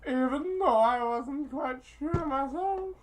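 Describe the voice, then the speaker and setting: A woman's voice: high voice, lively intonation, slow, a little hiss or hum. A woman casually reading a visual novel aloud as she plays, theatrical and giggly, with crude improvised jokes. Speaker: host of a visual novel playthrough. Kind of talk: casual playthrough narration, improvised crude joking.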